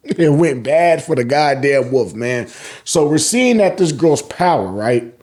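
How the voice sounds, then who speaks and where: Animated American man recapping manga. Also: a little high, a little slow. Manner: animated, profane, repeats phrases for emphasis. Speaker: host of a manga review show. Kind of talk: casual, slangy chapter recap commentary.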